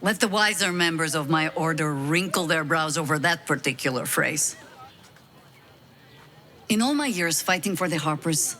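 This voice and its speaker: A woman's voice: fairly low pitch, fairly bright tone. A wry, weary veteran adventurer woman. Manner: wry, measured, self-deprecating. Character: weary, wry veteran high-ranking woman harper agent. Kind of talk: video game dialogue acting, reflective storytelling.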